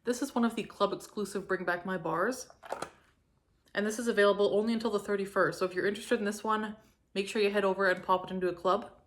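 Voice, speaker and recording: A young woman from Canada chatting casually through a home fragrance review, gushing and filling her talk with likes and ums, her throat a little hoarse from a cold. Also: mid-range voice, steady tone, fast talker. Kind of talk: casual product review vlog.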